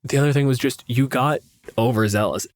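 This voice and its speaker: A man's voice: mid-range voice, fairly quick, no room sound, clean studio sound. A man speaking casually as a podcast co-host and game master, with a blunt, teasing, sweary manner.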